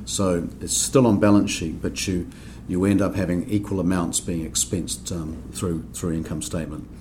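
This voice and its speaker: A man from New Zealand with a New Zealand accent, explaining accounting rules on a podcast in a measured way, with frequent ums and restarts. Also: deep voice, fairly even intonation, noisy background. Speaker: accounting standards board member. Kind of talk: podcast discussion.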